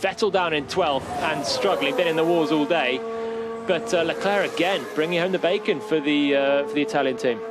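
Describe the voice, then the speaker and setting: A man's voice: a little high, moderate pace, loud music behind the voice. A man giving live analysis of a motor race as co-commentator.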